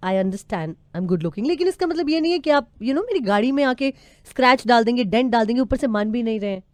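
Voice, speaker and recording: A woman's voice: on the high side, expressive, brisk, a little mellow, dry recording. A young woman, complaining on a phone call in a chatty, flustered way and mixing in English phrases.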